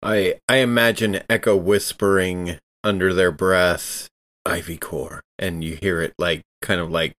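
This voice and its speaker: Male tabletop player, low-pitched voice, casual game chat, close and dry recording. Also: fairly even intonation, a little slow, a bit bright, no echo.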